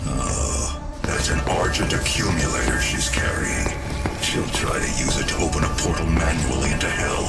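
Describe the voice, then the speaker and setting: An actor playing a grave, calculating scientist in a robot body, speaking in a measured, authoritative way in a video game cutscene. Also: male voice, extremely low pitch. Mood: grim, resigned. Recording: loud music behind the voice.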